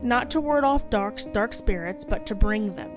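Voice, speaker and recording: A woman's voice: mid-range voice, lively intonation, normal speed, over music. A woman co-hosting a podcast, chatting casually and reading out stories. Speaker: podcast co-host telling stories.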